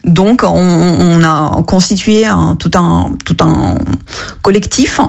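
A woman's voice: on the low side, slow, vocal fry, a little mellow. A woman whose first language is not French, answering questions as a radio guest, earnest and hesitant with frequent restarts. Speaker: app co-founder, radio guest.

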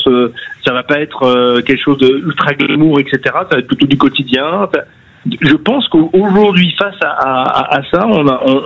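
A man's voice: on the high side, a bit bright. A man in his fifties calling in to a radio talk show, speaking hesitantly with many restarts and fillers. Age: 50s. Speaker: radio caller. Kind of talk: call-in chat. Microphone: phone. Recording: dull phone-line sound.

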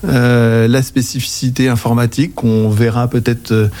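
French guy in his 40s, soft mellow tone, really hesitant, explaining stuff in an interview. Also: medium pitch, flat delivery. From Anjou, western France. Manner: very halting, hesitant delivery. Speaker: accounting agency director, interview guest. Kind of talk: informative interview answers. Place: interview on site in an office.

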